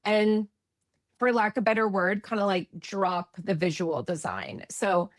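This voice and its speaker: A woman's voice: medium pitch, unhurried, no echo. A woman from eastern Canada with a Canadian accent, a guest talking in a chatty, reflective way and correcting herself as she goes. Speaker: guest, UX expert. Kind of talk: conversational interview.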